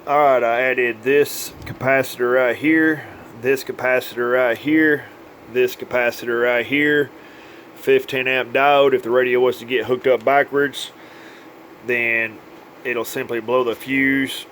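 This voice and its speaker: A man's voice: medium pitch, fairly even intonation, unhurried, a bit bright, a little hiss or hum. A man giving an informal, hands-on update as a radio repair technician, with plain, folksy phrasing. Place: indoors at a repair workbench.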